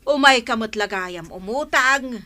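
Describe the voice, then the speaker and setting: A woman's voice: a little high, expressive, measured pace, bright, forceful tone. A woman playing a no-nonsense, sceptical village councilor in a comic radio drama, scolding with an Ilocano accent.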